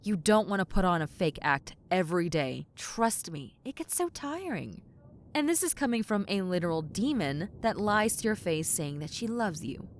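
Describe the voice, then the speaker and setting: A woman's voice: lowish voice, expressive, moderate pace, close to an everyday voice. Woman acting a sardonic, blunt tomboy succubus over background noise. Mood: frank, advising. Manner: sarcastic, incredulous, casually blunt. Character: brash tomboy succubus demon, blunt, sardonic, reluctantly helpful. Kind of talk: roleplay audio acting, conversational.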